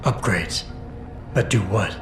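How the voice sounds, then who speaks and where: An actor playing a terse, stoic agent in his thirties in a video game, speaking in a clipped, deadpan, controlled way. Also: fairly low pitch, slow. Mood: grim, measured. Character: terse, stoic cybernetically augmented male rescue agent, thirties. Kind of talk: video game dialogue acting. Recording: roomy echo, clear music behind.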